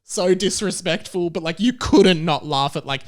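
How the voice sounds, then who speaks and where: A man's voice: high voice, normal speed. A young Australian man bantering casually on a comedy podcast, joking and giggling as he talks.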